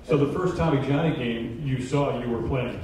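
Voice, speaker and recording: A man's voice: medium pitch, normal speed, darkish tone. Middle-aged man interviewing in a very echoey hall. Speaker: interviewer, radio play-by-play announcer. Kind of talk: live on-stage interview, casual banter. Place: indoor stage in an auditorium. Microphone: handheld microphone. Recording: echoey hall PA, distant, audience behind.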